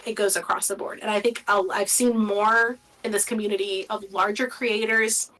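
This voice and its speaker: A woman's voice: mid-range voice, fairly even intonation, normal speed, a bit bright, rough recording. A woman from Latin America, a guest in a casual interview, talking candidly and reflectively with many filler words.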